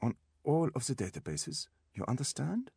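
A man voicing a sharp, impatient, haughty German doctor in an audiobook, with a German accent and a clipped, pompous, curt manner. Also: medium pitch, wildly swooping pitch, normal speed, gravelly, slightly rough, no room sound, studio quality, voice pushed up, full character voice.

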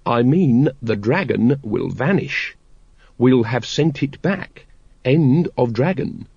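A man's voice: high-pitched, huge pitch swings, normal speed, no room sound, muffled recording, pitched above the performer's own voice, exaggerated voice. A man voicing an impatient, scheming cult grand master in an audiobook, commanding and condescending. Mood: patient condescension.

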